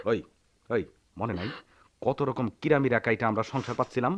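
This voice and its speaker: An actor playing a poor sharecropper husband, tender but hot-tempered, speaking rural Bangladeshi dialect in a theatrical radio drama manner. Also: male voice, medium pitch, fairly quick, dry recording, lo-fi sound, natural voice. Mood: bitter, angry. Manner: theatrical, swinging from murmurs to shouts.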